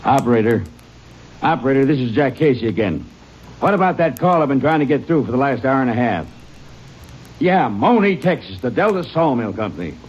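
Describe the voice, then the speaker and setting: A man's voice: medium pitch, expressive, fairly quick, darkish tone, breathy edge. Jittery rancher guy, voice jumps up and down a lot, old dull radio sound. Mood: impatient. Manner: jumpy, curt, defensive. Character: nervous, defensive male rancher suspect. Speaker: suspect in a radio western. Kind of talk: 1950s radio drama acting. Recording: dull vintage radio recording.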